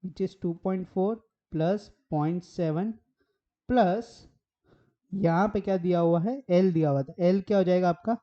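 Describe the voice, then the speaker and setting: A hoarse man teaching maths at a whiteboard. He works through each step and keeps asking rhetorical questions as he goes. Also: high voice, unhurried, muffled recording. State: hoarse from a sore throat.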